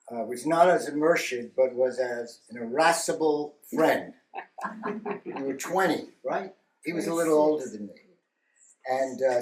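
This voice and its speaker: Slow, wry older man in echoey room. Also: medium pitch, a little mellow. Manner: wry, halting, stops to gather thoughts. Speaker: speaker sharing memories at a memorial gathering. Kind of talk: slow rambling storytelling. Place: meeting room with a live audience.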